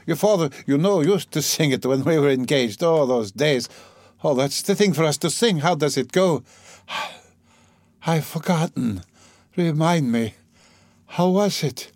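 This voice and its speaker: A man's voice: on the high side, expressive, a little slow, darkish tone, dry recording, voice pushed up. A man voicing a dying, delirious and bitter sick mother in an audiobook, faint and gasping, with a fretful, rambling, dramatic delivery. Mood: wistful delirium.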